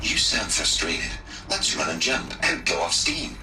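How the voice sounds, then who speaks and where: Android father supervisor: low voice, animated swings, polite and rigid, video game. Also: a little slow, gravelly, exaggerated voice. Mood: politely rigid. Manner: programmed politeness, formal. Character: cheery but rigid robot father supervising children. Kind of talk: video game cinematic acting. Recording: roomy, far from mic, music behind.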